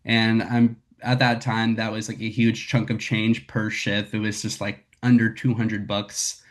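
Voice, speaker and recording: Casting: young guy, a little raspy from a cold, easygoing, loose with fillers. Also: on the low side, little pitch movement, measured pace. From Northern California. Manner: candid, filler-heavy, says "like" and "um" a lot. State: raspy from getting over a cold. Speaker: sales rep presenting to a team. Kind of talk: casual talk on a video call.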